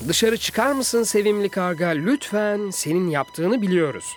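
A man voicing a confident young rescue vehicle hero in a children's cartoon, brisk and matter-of-fact. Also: high-pitched, lively intonation, music in the background.

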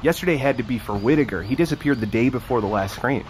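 A man's voice: mid-range voice, fast, natural voice. A man with an American accent playing a wry, wordy teenage stoner in an audio drama. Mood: casual, gossipy. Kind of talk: naturalistic audio drama dialogue. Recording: noisy outdoor ambience behind.

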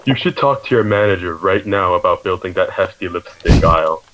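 Man posing as a low-voiced, halting hefty girl. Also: a bit bright, highs cut off, a little hiss or hum. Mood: insistent, nosy. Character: hefty girl shopper, earnest and naively persistent. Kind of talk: prank phone call.

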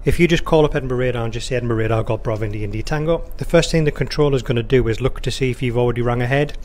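A man's voice: mid-range voice, rapid-fire, a little mellow. A man who works as an air traffic controller, giving an informal, conversational instructional walkthrough sprinkled with radio phraseology. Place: indoor control room or workstation, beside a touchscreen.

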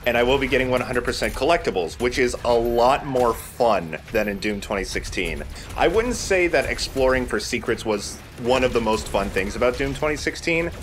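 A man's voice: mid-range voice, lively intonation, moderate pace, slight background noise. A man hosting a let's play, talking casually as he comments on a video game.

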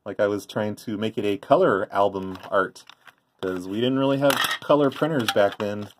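A man's voice: fairly low pitch, normal speed, noisy background. A middle-aged man giving an offhand, rambling show-and-tell commentary in a casual way. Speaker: vlogger, former prank call tape trader. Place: indoors by a tape deck.

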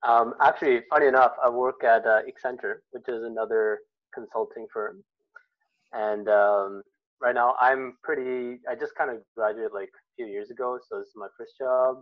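A man's voice: medium pitch, steady tone, normal speed, no echo. Easygoing man on a call, dull lo-fi sound, dry. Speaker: meeting participant. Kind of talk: casual self-introduction on a call.

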